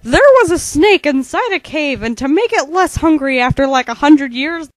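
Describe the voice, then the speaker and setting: Very high-pitched woman narrating a parody. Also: animated, moderate pace, no echo. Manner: mock-dramatic, slangy. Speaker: co-narrator of a fan-made parody. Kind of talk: comic storytelling narration of an abridged game parody.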